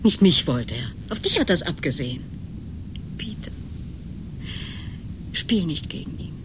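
An actress playing a worried, intense woman in a German radio drama, pleading emphatically and often sinking into breathy whispers. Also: deep voice, very animated, a little slow. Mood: urgent warning. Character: worried, urgently pleading woman, partner of chess player. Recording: noisy 1980s radio drama recording.

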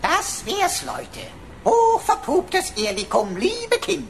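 A woman's voice: extremely high pitch, sing-song, takes it slowly, gravelly, slight background noise, exaggerated voice. A woman playing a cheeky, whiny pocket computer sidekick with flippant, mock-solemn delivery in a German radio drama. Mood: proud, pompous.

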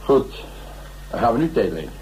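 An actor playing a gruff but caring male companion with a Dutch accent, speaking hesitantly and affectionately in a naturalistic radio drama. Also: mid-range voice, very animated, moderate pace, a little mellow, a little hiss or hum, natural voice, low-quality recording. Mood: tender.

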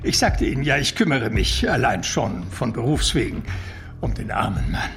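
A man's voice: on the low side, big pitch swings, normal speed, gravelly, a bit bright, soft background music, heavily acted. An actor playing an urbane, talkative and evasive male confidant in a radio drama, with mannered, eloquent phrasing. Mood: polite, guarded. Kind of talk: German radio drama acting.

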